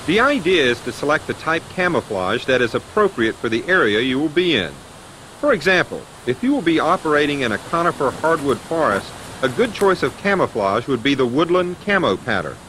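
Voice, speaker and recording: A man with an American accent narrating a scripted training film as an instructor, methodical and clear in his delivery. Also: fairly high pitch, a bit bright, no room sound. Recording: noisy 1990s training film soundtrack.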